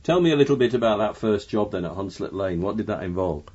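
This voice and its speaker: A man's voice: on the low side, animated, fast, darkish tone, breathy edge. A man interviewing someone conversationally, asking inquisitive questions with tentative phrasing. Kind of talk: conversational oral history interviewing. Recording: dull lo-fi interview recording.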